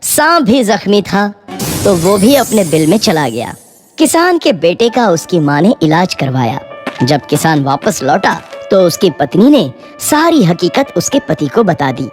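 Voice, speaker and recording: A woman narrating a scripted moral story for children as a storyteller. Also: on the low side. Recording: music behind the voice.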